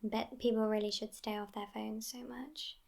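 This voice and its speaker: A woman voicing a sassy, affectionate immortal vampire girlfriend in an ASMR roleplay, hushed and often whispering, with a languid delivery. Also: a little high, fairly even intonation, normal speed, gravelly. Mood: musing, wry.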